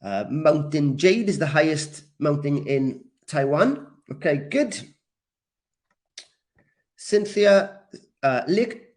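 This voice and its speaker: Slow-speaking man teaching, higher-pitched voice. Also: very animated. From Wales. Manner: encouraging, deliberate, repeats key words for learners. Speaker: English teacher leading a live online class. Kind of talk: live grammar lesson, reacting to comments.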